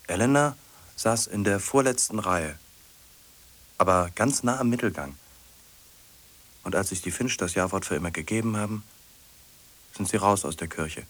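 An actor playing a solitary middle-aged man in a radio drama, ruminating in short questioning fragments with a husky, breathy voice. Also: sits low, normal speed, long pauses, lightly acted. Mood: detached, puzzled. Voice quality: husky, breathy, often sinking to a whisper. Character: solitary man fixated on a lonely woman, musing.

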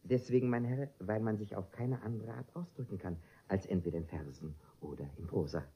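An actor in a classic comedy radio play voicing a courteous, pedantic philosophy tutor, formal, pompous and a little hesitant. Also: male voice, fairly low pitch, fairly quick, warm tone, natural voice. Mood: obliging, patient.

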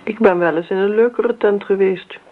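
An actress playing a bitter grown daughter in a Dutch radio play, dry, sarcastic and coolly needling. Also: female voice, mid-range voice, normal speed, natural voice. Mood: cutting. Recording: noisy, narrow-band old radio recording.

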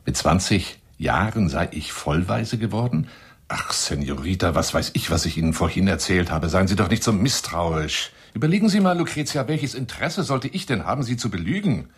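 Pompous lion hunter, low voice, swooping intonation, wheedling, touchy, radio drama monologue. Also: male voice, expressive, normal speed, husky, full character voice. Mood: defensive, indignant. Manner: wheedling, pompous, swinging into whispers. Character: boastful, touchy male lion hunter telling tall tales. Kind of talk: theatrical radio play monologue into a telephone.

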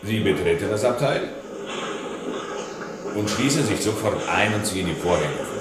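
A man's voice: sits low, animated, normal speed. Officious surveillance man, echoey room. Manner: bureaucratic, report-like. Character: officious secret-police surveillance reporter, dry and pedantic. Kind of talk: German radio drama acting. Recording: echoey room with mechanical clatter.

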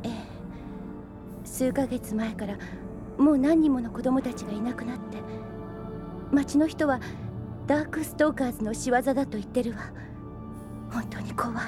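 A high, youthful woman's voice of an actress plays a big sister of twenty. She is caring and polite, yet strict with her little brother. Clear music runs behind her in this audio drama. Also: moderate pace, long pauses, lightly acted. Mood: worried. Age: young adult. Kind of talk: Japanese audio drama acting. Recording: loud background music.

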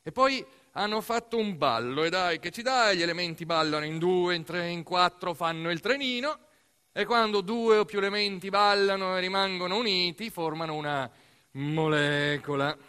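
Expressive Italian male storyteller, slightly high voice. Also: clear, resonant tone. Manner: expressive, playful, dramatic emphasis with deliberate pauses. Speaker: stage actor-narrator. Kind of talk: theatrical monologue storytelling for radio theatre.

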